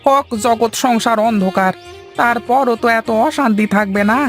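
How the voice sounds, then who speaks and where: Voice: mid-range voice, normal speed, faint music behind, close to an everyday voice. A performer voicing a disgruntled young villager in a cartoon folk tale, complaining and argumentative. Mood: fed up.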